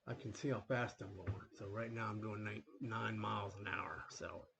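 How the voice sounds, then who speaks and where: A man hosting a train-game playthrough in a rambling, relaxed way, full of fillers, a little sniffly from a coming cold. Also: lowish voice, normal speed, vocal fry, slight background noise. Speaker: gaming channel host. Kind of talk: casual gameplay commentary.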